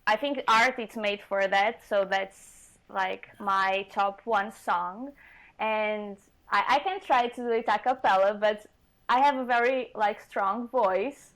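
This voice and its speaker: A woman's voice: mid-range voice, slow, fairly bright tone, poor audio. A woman from Portugal, a singer talking earnestly as a guest in an interview, with slightly phrasing. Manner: earnest, laughs often, slightly non-native phrasing. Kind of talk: casual interview chat.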